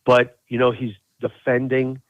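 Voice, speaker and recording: A man's voice: medium pitch, completely flat delivery, unhurried, no room sound. A man giving casual, emphatic sports analysis as a play-by-play announcer guesting on a podcast, repeating phrases for stress. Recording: thin, narrow phone-like line.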